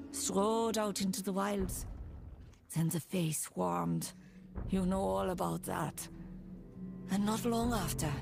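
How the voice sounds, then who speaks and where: A woman's voice: medium pitch, vocal fry. A woman playing an earnest, burdened village priestess in a video game, solemn and confiding. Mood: uneasy. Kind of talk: video game dialogue acting. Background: game music.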